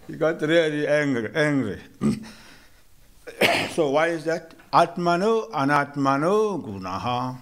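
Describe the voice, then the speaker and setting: A man from South Africa giving a morning sermon in a temple, slow and measured, with hesitant restarts and gentle humor. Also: mid-range voice. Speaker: monk giving a morning lecture. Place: temple hall.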